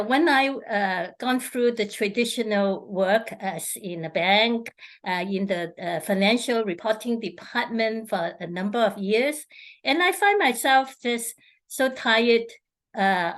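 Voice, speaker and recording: A middle-aged woman from Hong Kong, a feng shui consultant answering interview questions in a halting way, with many fillers and restarts. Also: on the high side, fairly bright tone.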